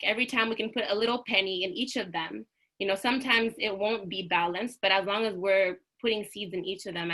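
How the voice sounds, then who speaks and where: A young woman from the United States gives a prepared talk over a video call. Her voice is bright and clear, and her delivery is earnest and reflective, the way a lecturer speaks to a class. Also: medium pitch, low-quality recording. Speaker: panel speaker.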